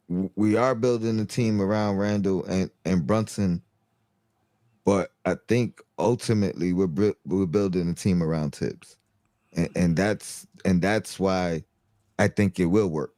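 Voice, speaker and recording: A man's voice: deep voice, dark tone, no echo, studio quality. A man giving casual sports analysis, stumbling and restarting often and repeating "you know what I mean".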